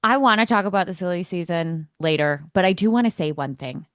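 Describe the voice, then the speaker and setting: A man's voice: high-pitched, brisk, a bit bright, smooth, dry recording, studio quality. An American man co-hosting a motorsport podcast, giving opinions in a casual, emphatic, conversational way.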